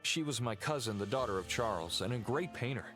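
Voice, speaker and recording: The mournful heir of a cursed family in a mystery game. His voice is mid-pitched. He explains earnestly and solemnly, with prominent music behind him. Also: expressive, fairly quick. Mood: mournful, regretful. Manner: earnest, expository. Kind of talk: video game cutscene acting. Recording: loud music under the voice.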